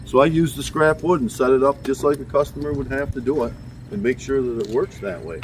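A man's voice: mid-range voice, fairly quick. A middle-aged, plain-spoken man casually explaining practical shop know-how as an equipment tester. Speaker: sawmill factory worker and equipment tester. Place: workshop and outdoor yard. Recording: distant noisy on-location camera audio.

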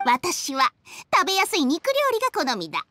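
A woman playing a haughty, sharp-tongued female snake in a video game, with a condescending, blunt manner. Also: high voice, huge pitch swings, moderate pace, slight background noise, full character voice. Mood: haughty, amused. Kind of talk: Japanese game voice acting.

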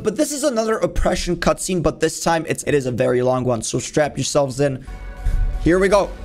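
A man in his twenties giving casual, jokey reaction commentary in informal language, as a video host. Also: fairly high pitch. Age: 20s. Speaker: YouTube host reacting to game cutscenes. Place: small home room, indoors.

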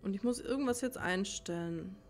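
A woman plays a game and comments casually as she goes, puzzling things out half to herself. Her pitch is middling, and music plays faintly behind her. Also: moderate pace, darkish tone. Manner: puzzled, mutters to herself while working things out. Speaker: let's player, channel host. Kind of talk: casual let's play commentary, thinking aloud.

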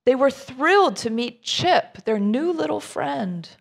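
A woman's voice: high voice, animated, a little slow, gravelly, breathy edge. A middle-aged woman minister reading a rhyming children's story aloud, expressively, with informal asides to her listeners.

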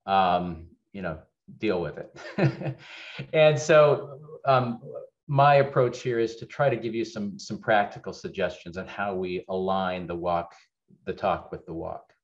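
A man's voice: deep voice, a little slow. A middle-aged man giving a conversational keynote over a video call, candid and loose, with many fillers and restarts. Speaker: keynote speaker, legal executive. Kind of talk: webinar keynote presentation. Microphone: webcam on video call. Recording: dull lo-fi video call audio.

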